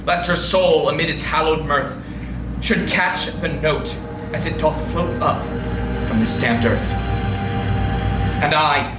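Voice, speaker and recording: A man acts out an indignant, grieving lover, defiant and scornful. He declaims the verse theatrically at a slow pace in a highish male voice. The sound is roomy and echoing, with music behind. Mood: defiant exalted. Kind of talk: dramatic poetry reading. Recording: roomy, distant recording with music.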